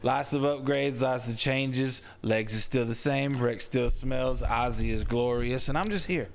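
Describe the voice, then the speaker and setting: A man's voice: medium pitch, a little slow, vocal fry. A man hosting a casual comedy podcast, joking loosely with stop-start phrasing and sometimes dropping to a whisper for a bit. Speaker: podcast host.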